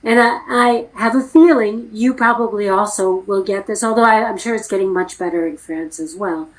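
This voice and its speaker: A woman's voice: mid-range voice, moderate pace, poor audio. A middle-aged American woman talking frankly and emphatically as an acting coach in a casual interview. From United States.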